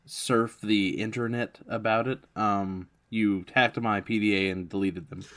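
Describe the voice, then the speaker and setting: Slightly low-voiced man, casual gamer chat. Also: steady tone, unhurried, fairly bright tone. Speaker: player in a tabletop role-playing game. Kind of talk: casual tabletop game chat.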